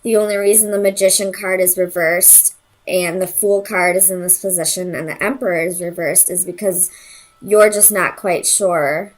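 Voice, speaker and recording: A woman's voice: lowish voice, fairly even intonation, normal speed, low-quality recording. Woman giving an informal tarot reading. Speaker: tarot reader and host.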